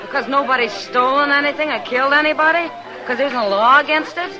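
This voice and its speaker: A woman's voice: sits high, fast talker, crowd noise, natural voice. A woman playing a bold, caring frontier saloon woman in a western radio drama, frank and insistent in her delivery. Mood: urgent, indignant. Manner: frank, insistent, plain-spoken.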